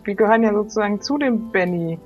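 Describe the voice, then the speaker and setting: A woman's voice: medium pitch, animated, quick pace, lo-fi sound, lightly acted. A woman playing a polite, inquisitive crew member with a hesitant manner in an improvised role-play comedy. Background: faint music.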